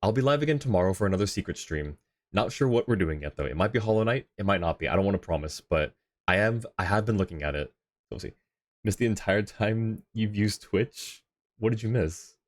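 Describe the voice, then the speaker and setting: A man's voice: on the low side, animated, brisk. Chuckling, easygoing male streamer. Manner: laughs often, rambling and easygoing. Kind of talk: casual chat with viewers while streaming.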